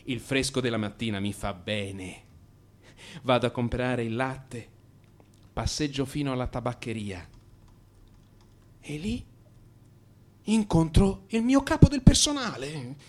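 An actor playing a troubled, melancholy man in crisis in a radio drama monologue, brooding and introspective, blaming himself in halting, repeated phrases. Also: medium pitch, expressive, a little mellow, lightly acted. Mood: anxious, lost.

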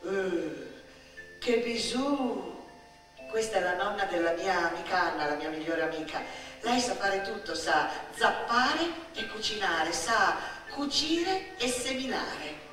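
A woman, performing a theatrical autobiographical monologue in an expressive, wry, deliberately phrased way. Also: mid-range voice, over music. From Turin, Italy. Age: 50s. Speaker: stage storyteller, actress. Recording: distant, very echoey room.

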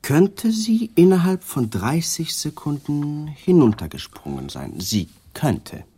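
An actor playing a shrewd, persistent male police detective in a radio drama, asking calm, politely needling questions. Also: on the high side, takes it slowly, a little hiss or hum, exaggerated voice. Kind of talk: 1980s German radio drama acting.

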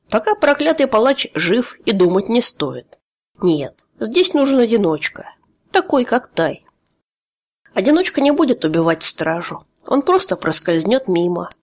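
A woman reading a fantasy audiobook aloud in a steady, expressive way. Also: mid-range voice. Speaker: audiobook reader.

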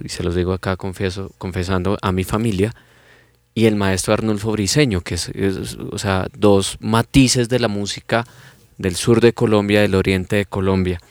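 A man's voice: on the low side, a little mellow, no echo. A man hosting an interview, asking questions with many restarts and repeated words. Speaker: interviewer host. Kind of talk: interview conversation.

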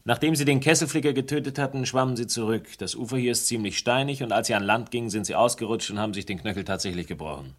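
A man's voice: mid-range voice, quick pace, few pauses, natural voice. An actor playing a persistent, sardonic man who lays out a murder case with dry, precise phrasing in a German radio crime drama. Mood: methodical, insistent.

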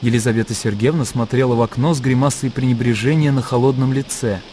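A man's voice: medium pitch, steady tone, fast talker, mellow, faint music behind. A man reading scripted literary narration as the narrator of a radio drama.

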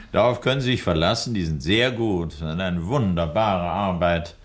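A low-voiced, courteous older doctor. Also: male voice, breathy edge. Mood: storytelling, courteous. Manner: measured, hesitant, polite. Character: older nerve doctor, courteous, worried father, hesitant. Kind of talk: 1960s German radio drama acting.

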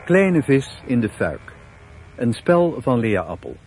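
A male radio drama announcer reads a scripted title with very slow, measured delivery. His intonation moves widely. The old recording carries faint noise. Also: medium pitch, big pitch swings, a little mellow. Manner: measured, deliberate.

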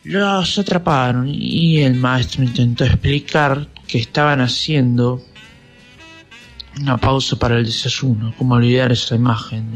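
Sarcastic young Argentine male narrator over music. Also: medium pitch. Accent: Buenos Aires Argentine. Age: teens. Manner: sarcastic, mock-dramatic. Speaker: radio play narrator. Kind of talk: scripted comic narration.

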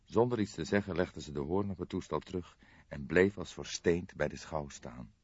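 Low-pitched male audiobook narrator. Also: normal speed, darkish tone, breathy edge, no echo, muffled recording. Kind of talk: scripted crime novel narration.

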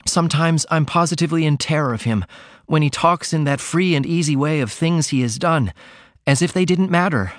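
A man's voice: on the high side, a little fast, no echo, clean studio sound, voice pushed up, lightly acted. A man voicing an earnest, innocent young woman who confides in her mother in an audiobook reading. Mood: earnest confiding.

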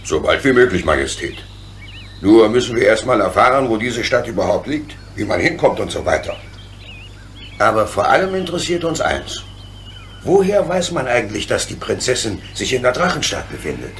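An actor playing a sensible, hearty locomotive driver with a creaky voice and plain-spoken manner in a children's radio play. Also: male voice, medium pitch, very animated, moderate pace, slight background noise, lightly acted. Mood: eager, businesslike.

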